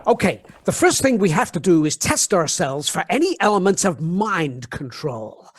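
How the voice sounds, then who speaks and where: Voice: mid-range voice, very animated, moderate pace, husky, dry recording. A performer playing a conceited, dry and dismissive professor in a spoof B-movie radio play. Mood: dry, brisk.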